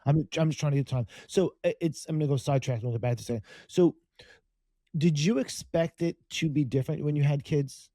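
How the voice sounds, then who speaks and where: A man's voice: on the high side, fairly quick, warm tone, no echo. A male podcast co-host asking casual, rambling questions that he restarts as he goes.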